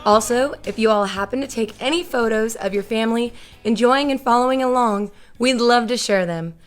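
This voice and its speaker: A woman's voice: a little high, normal speed. Friendly woman announcer over music. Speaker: show announcer. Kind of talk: scripted welcome announcement. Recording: music playing behind.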